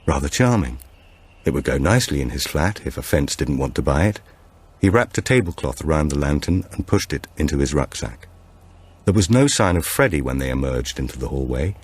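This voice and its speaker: A male audiobook narrator with a notably deep voice. He reads scripted suspense fiction as a storyteller. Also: darkish tone, husky.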